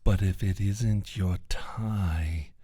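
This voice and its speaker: Alien reptilian scientist played by a man: low, very slow, husky half-whisper, condescending menace for an ASMR roleplay. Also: mellow, dry recording, studio quality, full character voice. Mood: gloating scorn. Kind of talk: soft-spoken ASMR roleplay monologue.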